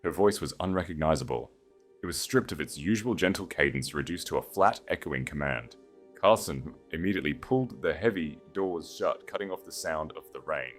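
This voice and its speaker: A man with a very low voice narrates a dramatic audiobook. The phrasing is measured and solemn, with animated swings of pitch, over faint music.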